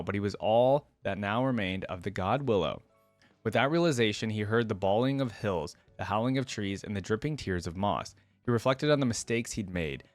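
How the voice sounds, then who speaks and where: A man's voice: on the low side, no echo. A man hosting a reaction video, moving between casual, informal commentary and reading a story aloud. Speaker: YouTube reaction host.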